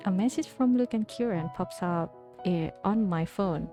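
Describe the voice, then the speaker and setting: A woman's voice: mid-range voice, moderate pace, over music. A woman streamer who reads a romance game's narration aloud and chats casually with viewers between passages. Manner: casual, thinking aloud with fillers. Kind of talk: reading game text aloud and chatting with viewers.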